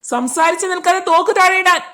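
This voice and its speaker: A woman's voice: extremely high pitch, brisk, bright, forceful tone, pitched below the performer's own voice. A woman voicing a threatening male kidnapper in an amateur cartoon drama, barking curt, menacing commands. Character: threatening male kidnapper demanding ransom money.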